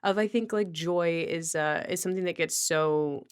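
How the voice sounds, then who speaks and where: A woman's voice: lowish voice, normal speed, clean tone. A woman hosting a podcast, chatting casually with a guest. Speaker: podcast host. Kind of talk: casual conversational chat.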